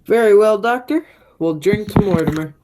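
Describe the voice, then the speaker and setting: A woman's voice: mid-range voice, animated, normal speed, background noise, natural voice. A young woman playing a menacing, murderous man in a radio play. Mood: commanding, menacing. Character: menacing murderous man back after twenty years away. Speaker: high school theatre actor.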